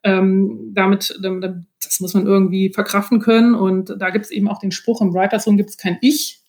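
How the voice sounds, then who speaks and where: A woman's voice: medium pitch, flat delivery, moderate pace, darkish tone. A woman speaking as a guest in a casual interview, explaining her experiences hesitantly and often laughing as she goes. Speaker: podcast guest, author.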